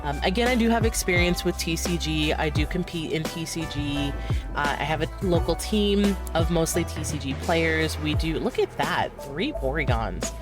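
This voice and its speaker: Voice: medium pitch, expressive, moderate pace. Stuffy nasally streamer w a sore throat, rambly gameplay chat, faint music behind. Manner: rambling, many fillers, talks through moves. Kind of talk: casual gameplay commentary.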